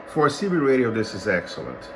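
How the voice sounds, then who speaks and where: A man giving an unscripted hands-on demonstration as a radio hobbyist, speaking in a halting, matter-of-fact way. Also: lowish voice, wildly swooping pitch, noisy background.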